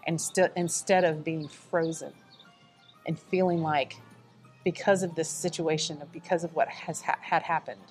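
Southern woman guest, lower voice, animated storyteller, light music bed. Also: unhurried. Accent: Southern American. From American South. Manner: animated storyteller, acts out quoted dialogue. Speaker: nonprofit staff guest. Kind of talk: conversational interview storytelling.

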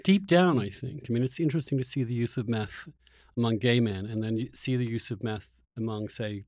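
A man's voice: mid-range voice, brisk, darkish tone, no echo, studio quality. A man hosting a conversational interview, speaking earnestly and often repeating phrases as he works out his thought. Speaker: podcast host interviewing a guest.

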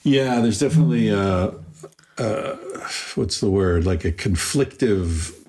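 A man's voice: on the low side, expressive, unhurried, a little mellow. A man interviewing in a casual, reflective way, searching for his words as he goes.

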